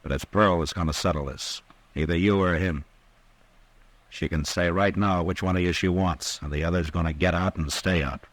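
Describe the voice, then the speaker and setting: An American actor playing a stern, authoritative US marshal in a 1950s radio western, terse and commanding. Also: male voice, deep voice, fairly even intonation, moderate pace, dry recording. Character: stern, steady, authoritative Old West US marshal. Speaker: lead actor and narrator.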